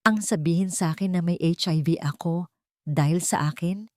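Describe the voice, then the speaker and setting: A woman voicing a betrayed wife in an audiobook drama, coldly calm, accusing and unforgiving, with controlled, cutting delivery. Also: on the low side, normal speed, no room sound, clean studio sound, close to an everyday voice. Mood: wounded, accusing. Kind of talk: dramatic audiobook character acting.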